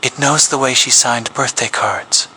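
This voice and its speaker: A man reading a scripted public safety announcement as an emergency broadcast announcer, in a grave, measured official tone. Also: medium pitch, pushed and bright, a little hiss or hum, poor audio. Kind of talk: scripted horror narration.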